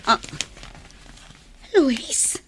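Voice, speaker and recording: A woman's voice: drawn-out words, fairly bright tone. A woman acting a guarded, hesitant young woman being courted in a radio drama. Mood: softened. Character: guarded young woman, courted but wary of drinking men. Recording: music under the voice.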